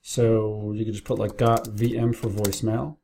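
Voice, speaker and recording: A man's voice: lowish voice, little pitch movement, normal speed, gravelly, warm tone, a little hiss or hum. A man narrating a casual screen-share tutorial, explaining things informally step by step as a business owner training staff. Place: indoors at a computer, keyboard and mouse clicks.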